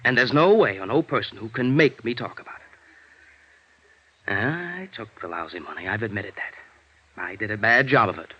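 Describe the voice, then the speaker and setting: A man's voice: fairly low pitch, lively intonation, normal speed, pauses often, breathy edge. An American man acting a defiant, bitter, educated embezzler held in jail, agitated and defensive, in a vintage radio drama. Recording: dull lo-fi vintage radio recording.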